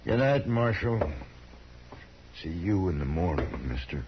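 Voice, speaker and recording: An American actor playing a cocky, menacing young gunslinger in a 1950s radio Western, cool and insolent. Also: male voice, sits low, lively intonation, unhurried. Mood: taunting. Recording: dull, hissy 1950s radio recording.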